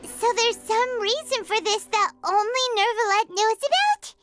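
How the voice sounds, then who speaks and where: Tiny floating game companion: extremely high, squeaky, blunt and questioning, lively swoops, music underneath. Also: female voice, measured pace. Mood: puzzled, inquisitive. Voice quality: squeaky, piping. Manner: hesitant interjections, questioning, exclaiming. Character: small chirpy childlike floating companion, inquisitive and blunt. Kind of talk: anime-style game voice acting. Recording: music playing behind the voice.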